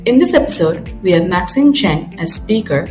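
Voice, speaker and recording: Lively woman announcer over music. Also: lowish voice, moderate pace. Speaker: series announcer. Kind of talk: scripted introduction. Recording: intro with clear music behind.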